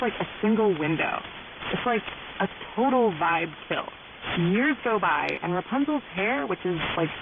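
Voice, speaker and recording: A young American woman with a Californian Valley girl accent, telling fairy tales in gushy, ditzy, exaggerated slang. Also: lowish voice. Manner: gushy, ditzy, peppered with filler words. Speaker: storyteller on a pirate radio program. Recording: hissy, narrow-band shortwave radio, very noisy. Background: loud static and hiss.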